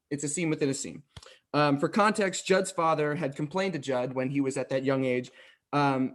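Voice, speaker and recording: Guy introducing play excerpts, informal explaining, kinda hesitant with ums. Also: medium pitch.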